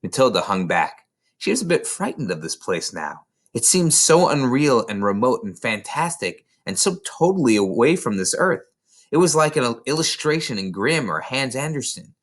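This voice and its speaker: A man's voice: mid-range voice, sing-song, moderate pace. A man reading a children's novel aloud as scripted narration. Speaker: storybook reader.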